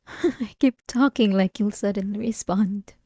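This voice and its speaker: A woman's voice: mid-range voice, slow pace, a little mellow, husky, no echo, clean studio sound. A woman playing an unhinged, possessive and obsessive wife in a dramatic ASMR roleplay, intense and swinging between pleading and menace. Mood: unsettled, delusional. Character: unhinged possessive, obsessive young wife confronting her husband.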